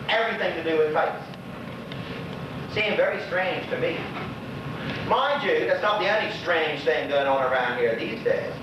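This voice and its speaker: In a very echoey, noisy stage recording comes a man playing a lonely old Bermudian widower. He gossips in a rambling, folksy way to an unseen neighbour, with a high-pitched voice for a man, as in a one-man play. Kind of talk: stage monologue, colloquial storytelling to an unseen neighbour. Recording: roomy, noisy, muffled distant stage recording.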